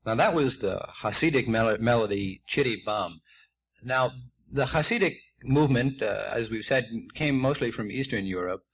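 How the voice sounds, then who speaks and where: A man's voice: mid-range voice, lively intonation, moderate pace, fairly bright tone, low-quality recording. A man hosting a radio interview, talking in a conversational, lightly joking way. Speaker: radio host.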